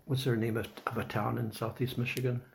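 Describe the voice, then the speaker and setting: A man conducting an oral history interview, asking measured, polite questions with some hesitation. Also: medium pitch, level tone, creaky, a little hiss or hum. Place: old building, a former dormitory.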